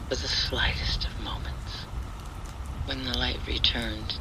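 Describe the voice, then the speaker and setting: Guy reading a creepy halloween story real slow and hushed, rain and thunder going behind him. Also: medium pitch, creaky. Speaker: horror story narrator. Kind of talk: slow, atmospheric scripted horror narration. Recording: steady rain and thunder noise. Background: rain and thunder ambience.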